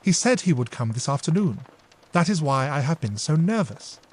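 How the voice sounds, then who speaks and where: A man's voice: fairly high pitch, sing-song, moderate pace, slightly rough. A man voicing an impetuous, playful younger sister who talks eagerly and coaxingly.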